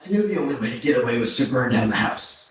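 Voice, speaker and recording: A man's voice: medium pitch, lively intonation, quick pace, close to an everyday voice. Man acting a remorseful prisoner, distant and echoey. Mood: bleak. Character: remorseful male prisoner confessing to killing his family. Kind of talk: staged play reading, dramatic monologue. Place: arts center stage, indoors, recorded from afar. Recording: very echoey hall, distant mic, background noise.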